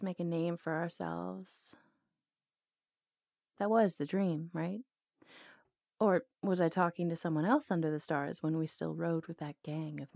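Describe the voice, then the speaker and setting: A woman's voice: on the low side, gravelly, a little mellow, dry recording, clean studio sound. A woman playing a bold, lovelorn outlaw cowgirl in an intimate ASMR roleplay, sardonic and flirtatious, drawing words out. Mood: wistful.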